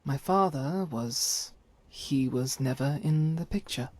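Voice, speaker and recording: A woman playing a devout, stern holy warrior in a video game, speaking in solemn, formal, measured phrases. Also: a bass voice, darkish tone, no echo, full character voice. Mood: reflective, earnest. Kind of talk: scripted video game character dialogue.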